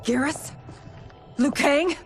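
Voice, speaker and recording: Slow, bewildered warrior woman. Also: sits high, faint music behind. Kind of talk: video game cutscene acting.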